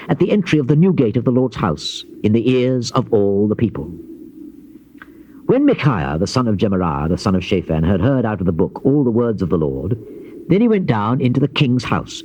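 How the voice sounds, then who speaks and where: An actor playing a grave Old Testament prophet in a dramatized scripture reading, declaiming in measured phrases. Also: male voice, mid-range voice, dark tone, husky. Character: solemn male Old Testament prophet recounting God's warnings. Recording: dull noisy old cassette tape.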